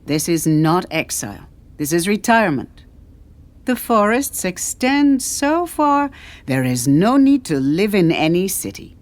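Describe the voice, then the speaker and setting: An aged woman historian, played by a female narrator, speaks in a low, slightly cracked voice. She is very unhurried and oratorical, with sly mischief and quiet authority, like a storybook elder telling a myth. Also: big pitch swings. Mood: wry, weary. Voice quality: a little cracked and weary.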